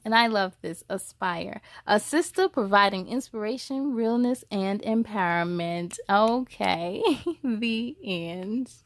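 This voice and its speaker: High-voiced woman reading stories to children. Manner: expressive, addresses young listeners directly. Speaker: children's story time host reading a picture book aloud. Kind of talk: read-aloud storytelling with chatty asides to children.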